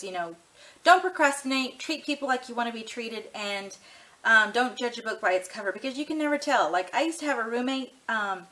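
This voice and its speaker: A woman's voice: fairly high pitch, sharp tone, rough recording. A woman in her thirties chatting casually to camera for a tag video, rambling and frank with lots of ums and you-knows. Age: 30s. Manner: easygoing and frank. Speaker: vlogger doing a tag video.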